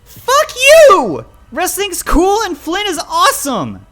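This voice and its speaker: A man voicing an angry, defiant young animal boy in a dramatic story, yelling and tearful with a cracking voice. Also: extremely high pitch, very animated, normal speed, faint music behind, voice pushed up, exaggerated voice. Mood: furious. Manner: foul-mouthed, yelling, voice cracking.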